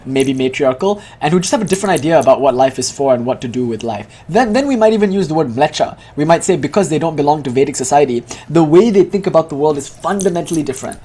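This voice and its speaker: Fast, husky-voiced male lecturer. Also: fairly high pitch, animated, dark tone. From Malaysia. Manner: fast, eager explaining with frequent "like". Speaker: teacher. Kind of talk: lecturing a live group.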